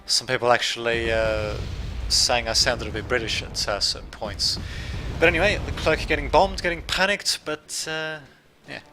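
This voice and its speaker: A man's voice: mid-range voice, normal speed, pushed and bright, a little hiss or hum. A man from Serbia, raised in Australia, with a light Australian accent, giving rambling casual commentary over a strategy game and chatting to his viewers. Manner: rambling, self-correcting, chatty asides to viewers. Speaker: gameplay commentator.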